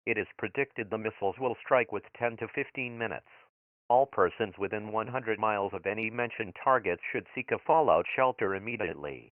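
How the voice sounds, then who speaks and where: A man playing a government emergency announcer, reading alert instructions in a flat, formal, measured way. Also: on the low side, dry recording, close to an everyday voice. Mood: urgent. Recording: tinny phone-like dry audio.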